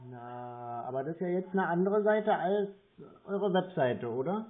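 A man's voice: high voice, lively intonation, unhurried. A man talking on the phone as a customer, hesitant and plodding, asking questions as he goes. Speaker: scam-baiter posing as a customer. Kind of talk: phone conversation. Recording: muffled narrow phone line.

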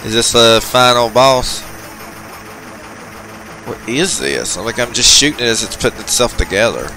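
A man's voice: mid-range voice, lively intonation, normal speed, pushed and bright. A man hosting a video game channel, giving informal, chatty gameplay commentary with some slang. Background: arcade game music.

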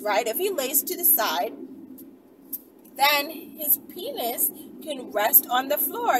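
A young adult woman chatting casually to her viewers like a vlogger, animated and conversational, often checking in with short tags. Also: high voice. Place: inside a car in daylight. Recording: distant mic, noisy car interior.

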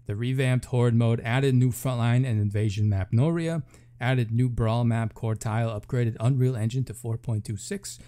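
A man's voice: mid-range voice, steady tone, moderate pace, warm tone. A man reading patch notes aloud as a gaming video host, with offhand casual commentary and thinking aloud between items.

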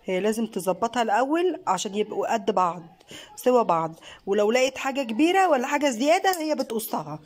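An Egyptian woman filming a home craft vlog on her phone, talking casually and praising warmly as she films. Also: on the high side, lively intonation, fast. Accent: Egyptian Arabic. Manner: warm praising, encouraging, mixes in many fillers. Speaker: craft channel host and mother. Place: living room at a home table. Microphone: phone camera held by the speaker.

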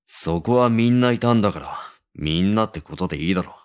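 Blunt, prickly low-voiced delinquent. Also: male voice, lively intonation, a little fast, dry recording, studio quality. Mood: cool. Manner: curt, blunt. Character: blunt, prickly young male delinquent, secretly fond. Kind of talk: romantic Japanese audio drama.

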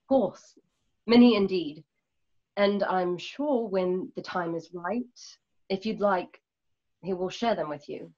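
A woman playing a calm, wise healer in a radio drama, speaking in measured, formal phrases. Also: mid-range voice. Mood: calm, reflective. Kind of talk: online radio drama acting. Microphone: video call, home setup. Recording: dull lo-fi video call.

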